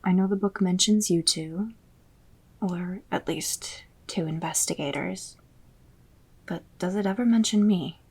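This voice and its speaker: An actress playing a determined, loyal fortune-teller in an audio drama, speaking in a hushed, matter-of-fact, naturalistic way. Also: female voice, on the low side, unhurried. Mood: uneasy, curious. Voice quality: husky, breathy. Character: determined, loyal female fortune-teller joining a dangerous mission. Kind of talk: audio roleplay acting, naturalistic.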